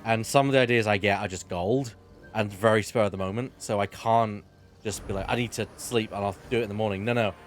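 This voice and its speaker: A man hosting a charity game stream, chatting in a relaxed, rambling way with a soothing voice. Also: lowish voice, quick pace, faint music behind. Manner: relaxed and rambling, with frequent restarts and "um". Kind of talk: casual chat while playing a game.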